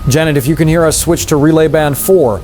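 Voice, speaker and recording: A man's voice: a little high, a little fast, a little mellow, soft background music, close to an everyday voice. A man playing a grave, dutiful news anchor in an apocalypse drama, speaking in clipped, formal emergency-broadcast style. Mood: concerned.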